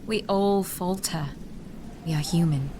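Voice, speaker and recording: An actress playing a gracious, animated queen in an audio drama, speaking in formal, consoling phrases. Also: lowish voice, a little slow, noisy background, lightly acted. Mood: tender, consoling.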